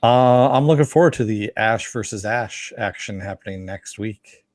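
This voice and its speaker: A man's voice: fairly low pitch, moderate pace, a little mellow, no room sound. A man co-hosting a podcast in casual chat. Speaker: podcast co-host.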